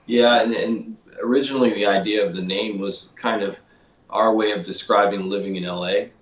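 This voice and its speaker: A man, a guitarist guest in an interview, talking in a relaxed, easygoing way. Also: on the low side, normal speed, roomy sound, muffled recording. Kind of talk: casual interview.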